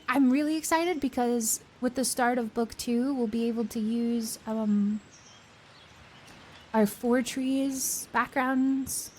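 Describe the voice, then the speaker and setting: Hoarse young woman chatting on stream. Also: on the high side, a little mellow, a little hiss or hum. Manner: chatty and rambling, with many fillers and giggles. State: hoarse, voice worn out. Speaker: streamer reading a book aloud. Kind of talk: casual stream chat after a read-aloud.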